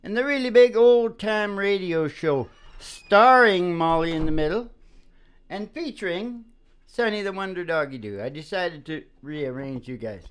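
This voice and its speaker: A man's voice: high voice, expressive, measured pace, smooth. A man hosting a radio show in a casual, rambling comic monologue, with a folksy, theatrical way of talking. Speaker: radio show host and singer.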